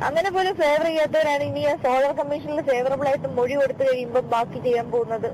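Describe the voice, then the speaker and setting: Insistent high-pitched woman on a noisy call. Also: fairly even intonation, fast. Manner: insistent, repeats phrases, pleading complaint. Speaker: caller. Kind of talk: tense, argumentative phone conversation. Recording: noisy phone call recording, vehicle sounds.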